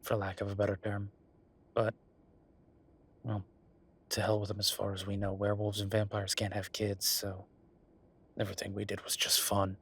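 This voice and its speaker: A man performs an affectionate, wry werewolf bureaucrat in an intimate audio roleplay. His voice is husky and on the low side, with little rise and fall. He talks casually and haltingly, like an easygoing boyfriend chatting at the office. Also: completely flat delivery, a bit bright. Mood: amused, unrepentant.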